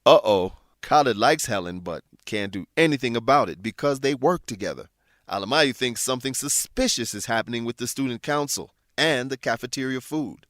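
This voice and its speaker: A man's voice: medium pitch, expressive, a little fast, fairly bright tone, no room sound, studio quality. A man narrating an educational radio drama in a scripted, theatrical way, putting questions to listeners.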